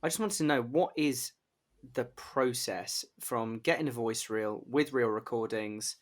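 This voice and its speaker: A man's voice: mid-range voice, a little slow. A man interviewing a guest as podcast host in an informal, jokey, conversational way. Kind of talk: casual chat, interviewing.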